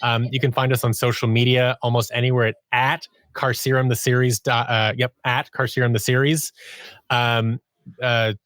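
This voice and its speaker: A man's voice: medium pitch, flat delivery, normal speed, a bit bright, no echo. A man speaking as a podcast guest in casual interview chat, in a chatty, rambling way that often interrupts itself. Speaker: podcast guest, audio drama producer.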